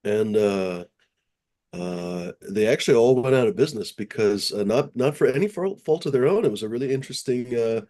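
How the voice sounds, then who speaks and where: A man's voice: medium pitch, expressive, fairly quick, dark tone. A man hosting a casual podcast chat, rambling through stories with loose swearing.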